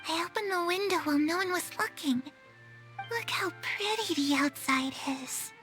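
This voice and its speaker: A woman's voice: very high voice, lively intonation, moderate pace, over music. Wistful, disillusioned young girl. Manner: wistful, resigned. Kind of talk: video game voice acting.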